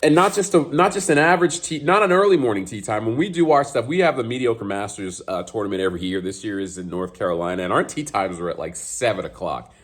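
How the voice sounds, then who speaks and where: Man, 30s, a little scratchy from a cold; casual golf vlogger who rambles with fillers, lowish voice. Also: expressive, fast talker, hardly any pauses. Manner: rambling, many fillers and restarts. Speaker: golf YouTuber. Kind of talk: casual vlog commentary. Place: home garage.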